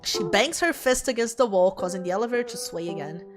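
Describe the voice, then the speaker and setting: A woman's voice: medium pitch, animated, fast. A woman streamer reading a visual novel aloud and commenting casually, with playful, slangy asides. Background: clear background music.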